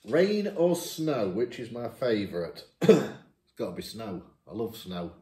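A man's voice: fairly low pitch, expressive, unhurried, dark tone. A 51-year-old man from Lincolnshire, reading questions aloud at his dining table and answering them in a chatty, self-mocking way. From Lincolnshire, England. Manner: reads each question aloud then answers chattily, laughs at himself. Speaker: YouTuber answering viewer questions. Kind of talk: casual question-and-answer chat. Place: dining room at home, seated at a table. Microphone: camera across the table. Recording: echoey room.

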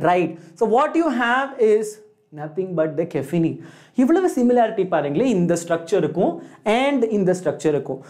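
A man with a South Indian accent teaching in an animated, questioning way, switching between English and Tamil. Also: sits high. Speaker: chemistry teacher. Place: indoor studio. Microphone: lapel mic.

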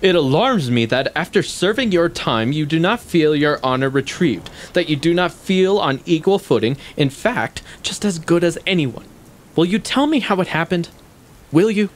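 An actor playing a sceptical, composed confidant with formal, measured questioning in a stage play. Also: male voice, on the high side, animated, close to an everyday voice. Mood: probing, challenging. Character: sceptical, probing, morally composed male confidant.

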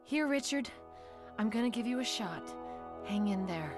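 An actress voicing an earnest young policewoman in a video game drama. Her voice is fairly high and lively in pitch, emotive and concerned, with music clearly playing behind her. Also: normal speed, close to an everyday voice. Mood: worried, caring.

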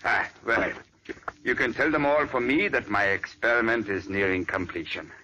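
An actor playing a cold, secretive older surgeon in 1940s radio acting style, commanding and sinister. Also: male voice, mid-range voice, moderate pace, pushed and bright, close to an everyday voice, rough recording. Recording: vintage radio recording with faint hiss.

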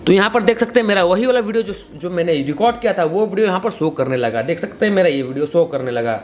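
A man's voice: high voice, animated, fast talker, a little hiss or hum. A man in his twenties with an Indian accent, hosting a casual step-by-step tutorial in a repetitive, instructional way. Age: 20s. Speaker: YouTube tutorial host.

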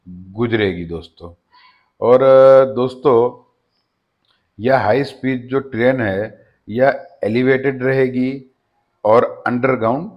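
A man hosting his own channel, giving a steady informational voice-over that often addresses viewers as friends. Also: medium pitch, dull audio. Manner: steady explanatory delivery with frequent "friends" address. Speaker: channel host. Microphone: voice-over over slides.

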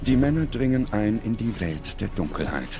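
A man reading scripted documentary narration in a measured, dramatic manner. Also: on the low side, very animated, over music.

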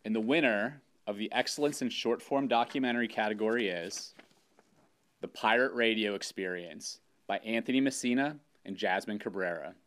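A man's voice: lowish voice, moderate pace, fairly bright tone. A man in his thirties reading a scripted award announcement as a presenter. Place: indoor studio with curtain backdrop.

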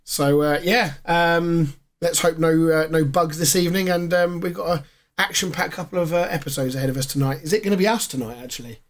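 A hoarse man in his thirties, co-hosting a casual gaming podcast from a small home room, rambling with plenty of fillers. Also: fairly high pitch, fairly even intonation, brisk. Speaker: podcast co-host.

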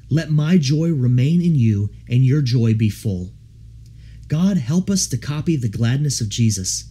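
A man reading a devotional audiobook in an earnest, measured, formal way. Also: mid-range voice, warm tone, slightly rough. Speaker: audiobook reader. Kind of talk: scripted audiobook narration of a devotional text.

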